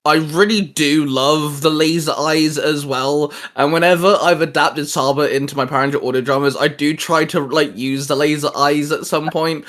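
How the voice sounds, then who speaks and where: A man's voice: fairly high pitch, fairly even intonation, a bit bright. A male host chatting in an upbeat, casual way about fandom topics over a video call. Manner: upbeat, chatty, quick asides. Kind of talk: casual podcast chat.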